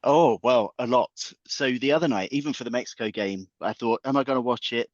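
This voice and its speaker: A man's voice: medium pitch, a little fast, no room sound, highs cut off. A man on a podcast as a guest market analyst, chatting playfully and packing his points with football metaphors. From England. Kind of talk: podcast chat.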